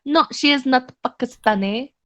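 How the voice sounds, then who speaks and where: A woman's voice: sits high, moderate pace, pushed and bright. A woman chatting casually with friends, laughing often and trying out silly phrases. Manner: giggly, puts on mock Spanish phrases. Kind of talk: casual banter.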